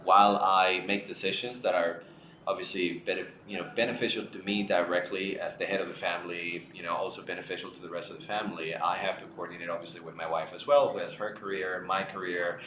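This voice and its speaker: A man's voice: sits low, steady tone, quick pace, bright, forceful tone. A man co-hosting a casual conversational discussion, talking in an earnest, explanatory way. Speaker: show co-host. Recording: roomy echo, light background noise.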